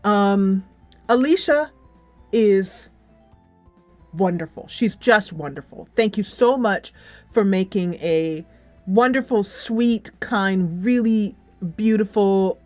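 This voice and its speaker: A woman's voice: medium pitch, slow, darkish tone, no echo. Woman doing a chatty game review, kinda rambly and keen, game music playing behind her. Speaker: video game reviewer, channel host. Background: game soundtrack music playing in background.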